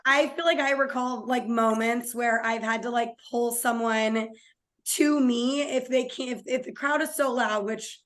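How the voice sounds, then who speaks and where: A hoarse woman with a fairly high voice recounts a coaching moment in a lively, anecdotal way. She coaches girls' lacrosse and speaks casually. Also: a little slow. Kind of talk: casual video chat conversation.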